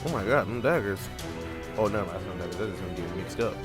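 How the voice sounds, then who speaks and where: A man's voice: low-pitched, lively intonation, fast. A man giving casual, slangy reaction commentary as a gaming host, often repeating his phrases. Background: music from the video.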